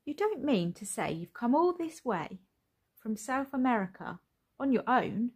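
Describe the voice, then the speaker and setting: A woman's voice: on the high side, big pitch swings, unhurried, darkish tone, breathy edge, voice pushed up, exaggerated voice. A woman voicing a kind-hearted, decisive mother in a children's story, caring and full of exclamation. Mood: surprised, curious. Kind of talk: children's storybook read aloud.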